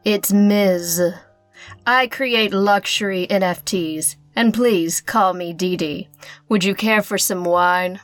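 A woman's voice: medium pitch, slow pace, dry recording, soft background music, lightly acted. Femme fatale female actor: sultry rich artist, flirty with menace, mid-range voice, faint musical underscore, radio drama. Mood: coy. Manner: seductive, teasing. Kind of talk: noir radio-play acting.

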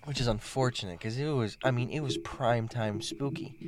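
A man's voice: mid-range voice, normal speed, noisy background. A man hosting a casual chat, telling stories in a joking, playful way. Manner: joking, playful storyteller who laughs at his own jokes.

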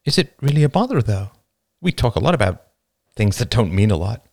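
A man voicing a gentle, earnest and inquisitive young woman with a soft, concerned manner. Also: mid-range voice, very animated, a little fast, gravelly, no echo, studio quality, pitched above the performer's own voice. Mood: concerned, coaxing.

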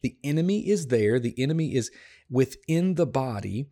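Man, slightly hoarse, tired voice, devotional podcast host, earnest and encouraging Bible teaching, average pitch. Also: expressive, moderate pace, warm tone. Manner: earnest, encouraging, emphatic repetition.

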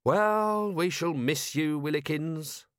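A man's voice: fairly high pitch, takes it slowly, no echo, studio quality, pitched above the performer's own voice. A man playing a wry, weary city watch commander in an audiobook, speaking in a sardonic, clipped way. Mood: weary irony.

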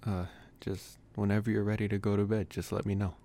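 A man acts out a young male college vampire with a lowish voice. He speaks softly and intimately, as in an ASMR boyfriend roleplay. He is possessive yet gently reassuring, with a teasing streak and halting, sighing phrasing. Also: level tone, lightly acted. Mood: easygoing, accommodating. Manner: soft, intimate, hesitant phrasing with sighs and chuckles.

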